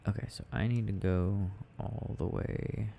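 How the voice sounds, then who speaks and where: A young man playing an affectionate, gently teasing boyfriend in an ASMR audio roleplay, murmuring and rambling as he games. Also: on the low side, fairly even intonation, unhurried, vocal fry, slight background noise. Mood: absorbed, rambling.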